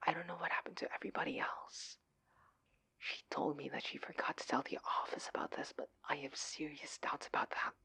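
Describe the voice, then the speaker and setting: A man playing an anxious, adorkable husband in an intimate audio roleplay, speaking in a breathy, pleading manner. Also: lowish voice, moderate pace, a little mellow, low-quality recording. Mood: panicked whispering. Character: adorkable, anxious, oblivious husband, affectionate and flustered.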